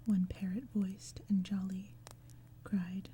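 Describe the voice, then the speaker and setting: A woman reading poetry as ASMR in a breathy whisper, slowly and gently, with measured phrasing. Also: mid-range voice. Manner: slow, gentle, measured storytelling. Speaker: ASMR reader. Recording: steady background ambience, crackling fire, forest sounds. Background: crackling fire and forest sounds.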